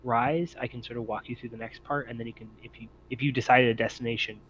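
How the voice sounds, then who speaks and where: A man's voice: mid-range voice, fairly even intonation, fast talker, fairly bright tone, slight background noise. Man running a game, dull lo-fi sound. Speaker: game master. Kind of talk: improvised narration of a tabletop roleplaying game.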